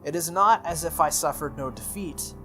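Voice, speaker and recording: A man's voice: a little high, moderate pace, natural voice. Guy reading as a solemn young knight girl, formal and dutiful, visual novel style, music underneath. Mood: solemn, firm. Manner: formal, solemn, matter-of-fact. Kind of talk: visual novel character read-aloud.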